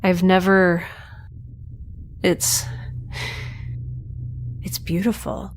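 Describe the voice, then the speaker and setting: A woman's voice: lowish voice, level tone, very slow, faint music behind. A woman with a wry, sarcastic way of talking, hosting and voicing her own audio drama. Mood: awed. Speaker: podcast creator and lead voice actor. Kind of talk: audio drama acting and sponsor read.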